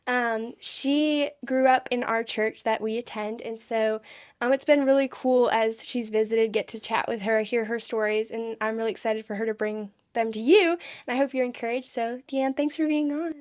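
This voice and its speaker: A woman's voice: a little high, steady tone, normal speed. A woman hosting a casual podcast interview, upbeat and encouraging toward her guest. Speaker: podcast host.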